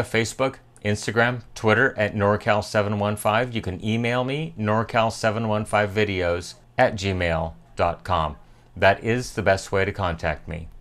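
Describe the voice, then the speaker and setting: A man's voice: on the low side, a bit bright. A middle-aged American man narrating a hands-on electronics repair tutorial in a methodical, plain-spoken way. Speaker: electronics repair video host.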